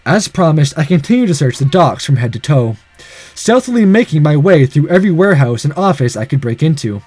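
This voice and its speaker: A man plays through a visual novel, reading it out with a lot of drama. His voice sits fairly high and swings widely in pitch, and he drops in sarcastic asides. The sound is dry and close. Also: very animated, fairly quick, a little mellow, no echo, faint music behind. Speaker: let's-player reading a visual novel aloud. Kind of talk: dramatic read-aloud with playful commentary.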